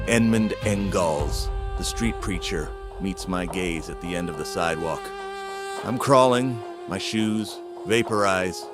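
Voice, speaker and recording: An actor playing a doomed, steadfast field reporter giving a grave, poetic eyewitness account in an audio drama. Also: male voice, fairly low pitch, natural voice. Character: doomed male field reporter witnessing the world dissolving, awestruck, steadfast. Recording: music under the voice. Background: music.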